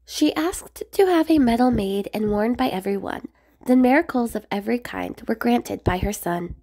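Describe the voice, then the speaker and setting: A woman reading a rhyming children's picture book aloud in a measured, expressive sing-song way. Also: on the high side. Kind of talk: storybook read-aloud narration of rhyming verse.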